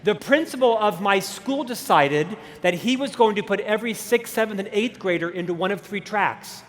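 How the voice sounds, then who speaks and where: Animated storytelling man in his fifties. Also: high-pitched, moderate pace. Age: 50s. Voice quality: stutter. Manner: animated storytelling with self-deprecating humor. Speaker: keynote speaker. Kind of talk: motivational conference talk. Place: large conference hall stage. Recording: long reverb of a conference hall.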